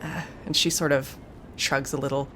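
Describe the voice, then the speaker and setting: A woman's voice: rumbling low, flat delivery, normal speed, fairly bright tone, slight background noise. A woman player describing her role-playing character in the third person, in a plain storytelling style.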